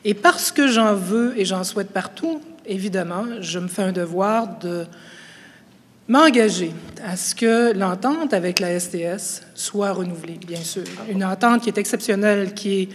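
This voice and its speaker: A woman's voice: mid-range voice, normal speed. Upbeat woman in a hall. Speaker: municipal candidate. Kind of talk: debate opening statement. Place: university hall. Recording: reverberant hall.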